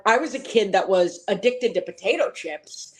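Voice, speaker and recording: A woman in her forties from Boston with a faint, mostly hidden Boston accent, telling her story as an interview guest, animated and full of fillers. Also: mid-range voice, moderate pace, a little hiss or hum, low-quality recording. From Boston, Massachusetts.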